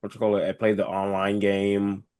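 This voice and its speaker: A man's voice: fairly low pitch, monotone, moderate pace, a little mellow. A man chatting casually as a panelist on a podcast. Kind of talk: casual chat.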